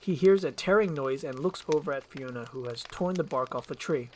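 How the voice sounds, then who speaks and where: A woman reading scripted stage directions as narrator, in a low-key, soft delivery. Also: brisk, a little hiss or hum. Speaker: narrator and voice performer.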